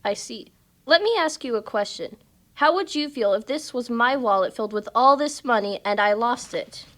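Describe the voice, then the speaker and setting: A woman playing a gentle, principled mother in a puppet show, patiently teaching her son. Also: on the high side, lively intonation, moderate pace. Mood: patient, instructive. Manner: patient, instructive. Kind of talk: church puppet show dialogue.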